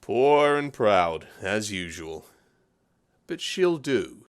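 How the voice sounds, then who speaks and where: A man's voice: lowish voice, sing-song, drawn-out words, clean tone, exaggerated voice. An actor playing a worldly, businesslike male newspaper editor in a period audio drama, offhand and dismissive.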